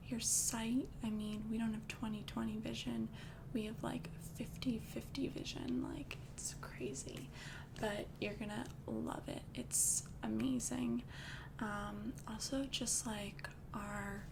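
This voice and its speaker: A woman's voice: a little high, vocal fry. A woman whispering as a chatty vampire girlfriend. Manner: soft whisper, casual and rambling. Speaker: ASMR creator. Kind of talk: ASMR roleplay. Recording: close mic with loud background hiss.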